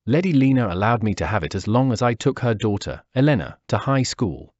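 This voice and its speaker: A man's voice: mid-range voice, very animated, a little fast, darkish tone, no room sound. Wistful man in his forties. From Peru. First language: Spanish. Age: 40s. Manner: wistful, reflective. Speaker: narrator. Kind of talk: first-person memoir narration. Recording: dull dry lo-fi recording.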